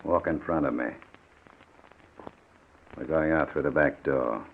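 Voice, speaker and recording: Menacing gunman in old radio drama, super low voice, bossy and cold, dull hissy recording. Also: vocal fry, heavily acted. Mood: threatening. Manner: commanding, deliberate, threatening. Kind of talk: old-time radio suspense acting.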